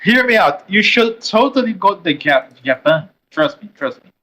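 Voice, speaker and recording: A man from Brazil with a Brazilian Portuguese accent, a Portuguese native speaker explaining earnestly and haltingly as a moderator over a voice call. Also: sits high, animated, pushed and bright.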